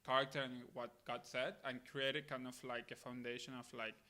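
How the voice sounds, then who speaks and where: Bright-voiced young man from Argentina. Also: medium pitch, level tone, normal speed. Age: late 20s. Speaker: podcast guest, missionary. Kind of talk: casual interview answers.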